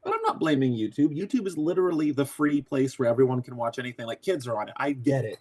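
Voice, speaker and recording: A man who voices a cartoon character, talking in a casual interview, excitable and crude, joking and restarting often. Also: medium pitch, quick pace. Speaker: voice actor guest.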